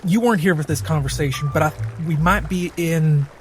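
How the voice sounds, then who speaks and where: A man's voice: on the high side, brisk, lightly acted. A man playing a confused, laid-back adventurer in improvised tabletop roleplay, speaking in hesitant, casual bursts.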